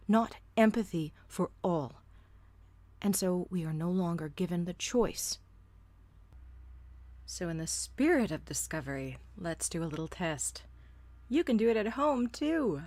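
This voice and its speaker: A woman's voice: medium pitch, dark tone, dry recording. A woman delivering scripted opinion commentary in a blunt, sardonic manner, casually profane. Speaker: host of a solo commentary show.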